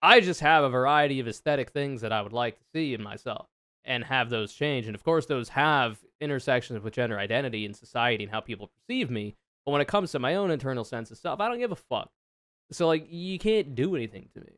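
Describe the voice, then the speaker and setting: A man streamer with a deep, smooth voice talks over a clip in loose, thinking-aloud commentary. He is frank and swears freely. The sound is close and dry, at an ordinary middle pitch. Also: animated, fast, fairly bright tone, no room sound. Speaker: streamer reacting to a video. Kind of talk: casual reaction commentary.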